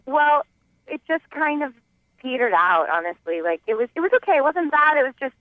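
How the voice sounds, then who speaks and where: A woman's voice: high voice, big pitch swings, a little fast, dry recording. A woman calling a radio show by phone, casually telling a personal story in a hesitant way, often saying "like". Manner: hesitant, filler-heavy with frequent "like". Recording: muffled telephone line on radio.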